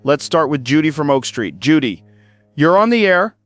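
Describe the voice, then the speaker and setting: An actor playing a male late-night radio host who takes calls in a steady, measured way. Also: on the high side, soft background music. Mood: calm. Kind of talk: audio drama acting.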